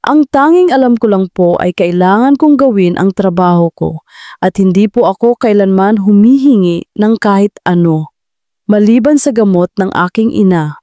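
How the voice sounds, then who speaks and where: A humble young working woman in a romance audio drama, voiced by a female narrator. She is deferential and wounded yet keeps herself steady, in a mellow, dryly recorded voice. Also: mid-range voice, moderate pace, dry recording, close to an everyday voice. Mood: pleading but steady. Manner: deferential, trying to sound steady. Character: humble, hurt young woman servant hiding pain.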